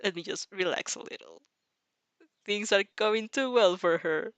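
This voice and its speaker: A woman's voice: mid-range voice, a little slow, a bit bright, clear, resonant tone, dry recording, lo-fi sound. A woman reading fan fiction aloud expressively, with casual asides to her listeners. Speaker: reader and fanfic reactor. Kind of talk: expressive story narration with casual reaction asides.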